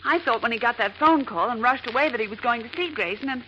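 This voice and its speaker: A determined woman newspaper reporter in an old radio drama. Her voice is high and crisp-edged, agitated and dramatic. A light hiss sits on the vintage recording. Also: animated, quick pace, pushed and bright, dull audio. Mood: dismayed. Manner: urgent, dramatic. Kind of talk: 1940s radio acting. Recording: hissy 1940s radio recording.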